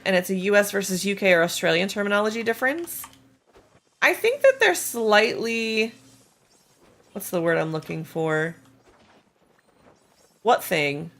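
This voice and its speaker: A woman with a slightly hoarse, gravelly voice, chatting casually with viewers on a game live stream while reading and answering chat. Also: mid-range voice, fairly bright tone. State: slightly hoarse, losing her voice.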